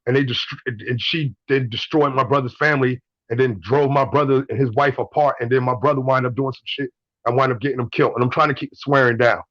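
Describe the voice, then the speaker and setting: A man's voice: mid-range voice, fairly even intonation, fast, fairly bright tone, no room sound, lo-fi sound. A man in his twenties calling in to a show, telling blunt stories, swearing and repeating himself. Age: 20s. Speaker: caller. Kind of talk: casual call-in story.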